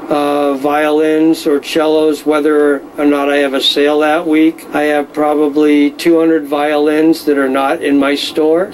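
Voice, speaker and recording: A middle-aged man who deals in violins, speaking in a measured, knowledgeable way with frequent fillers. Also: on the high side, a little mellow, a little hiss or hum, low-quality recording. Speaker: violin dealer. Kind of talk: casual explanatory talk.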